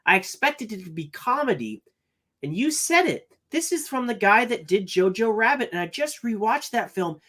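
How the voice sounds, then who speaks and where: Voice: medium pitch, big pitch swings, normal speed, fairly bright tone. Podcast co-host, casual movie chat, earnest, thinks aloud, mid-range voice.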